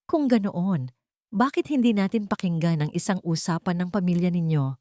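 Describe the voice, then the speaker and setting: A woman voicing a calm, steadfast young woman who quietly challenges her accusers in an audio story. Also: fairly low pitch, normal speed, no echo, close to an everyday voice. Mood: calm defiance.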